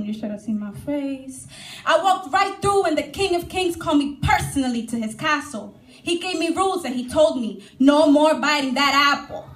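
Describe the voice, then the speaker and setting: A young woman reciting rhyming spoken word testimony at a church service in a dramatic, measured way. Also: sits high. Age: young adult. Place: church hall during a live service. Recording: echoey live church recording.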